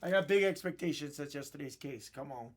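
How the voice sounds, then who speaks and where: A man's voice: a little high, fairly quick. A man from Oahu with a Hawaiian accent, hosting a live card break and chatting easily with viewers while he opens packs. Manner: chatty, teasing, easygoing banter. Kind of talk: live stream chat while opening cards.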